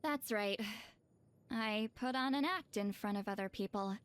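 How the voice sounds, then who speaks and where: A woman's voice: mid-range voice, a little slow, natural voice. A woman voicing a reclusive video game shaman, dry and weary yet easily flustered and defensive. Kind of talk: video game character voice lines.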